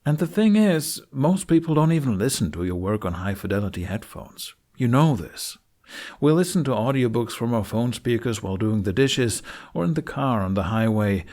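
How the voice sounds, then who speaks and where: A man's voice: on the low side, darkish tone. A man narrating a tutorial voice-over in a frank, conversational manner, recorded at home on a USB microphone. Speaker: tutorial presenter. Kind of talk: informal scripted tutorial voice-over. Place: living room, home recording. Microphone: budget USB microphone on an arm.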